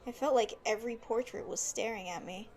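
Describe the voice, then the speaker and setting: Actress as high, gushing young noblewoman. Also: brisk, darkish tone, close to an everyday voice. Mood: chatty. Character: sweet, chatty young noblewoman, an affectionate friend. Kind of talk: audio drama acting.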